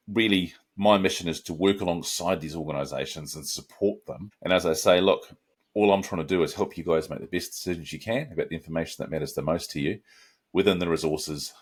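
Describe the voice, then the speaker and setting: A middle-aged man with a New Zealand accent, a guest answering interview questions in a relaxed, reflective way. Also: low voice, slightly rough. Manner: relaxed, reflective, with frequent restarts and asides.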